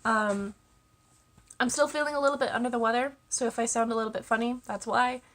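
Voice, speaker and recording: A woman's voice: fairly high pitch, fairly even intonation, brisk, a bit bright. A young woman vlogging to camera, congested with a cold and chatting casually with lots of ums and small laughs. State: congested, stuffy-nosed. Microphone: camera mic.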